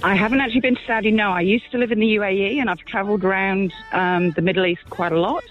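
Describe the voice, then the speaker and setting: High-voiced British caller on a phone line. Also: male voice, fast talker, soft background music. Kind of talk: phone-in chat.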